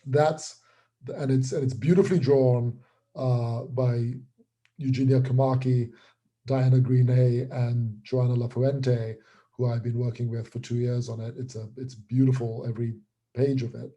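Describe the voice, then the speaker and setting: A man who is a writer and creator, explaining his work in an interview in articulate, deliberate phrases broken by pauses. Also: medium pitch, level tone, mellow, highs cut off.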